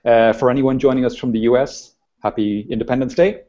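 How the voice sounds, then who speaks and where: A man hosting a casual video call show, talking in an easygoing, halting way with many restarts. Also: mid-range voice, steady tone, warm tone. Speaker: host. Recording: dull lo-fi video call audio.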